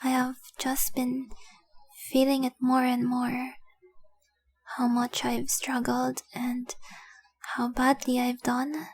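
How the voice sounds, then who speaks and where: A young dragon-blooded fiancée, acted by a woman, devoted and remorseful, in an intimate romantic audio drama. Her voice is high and breathy, often close to a whisper. She confesses slowly and earnestly, keeping her melody narrow and gentle. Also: completely flat delivery, exaggerated voice. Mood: hesitant, confessing. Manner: slow, earnest, tender confessing.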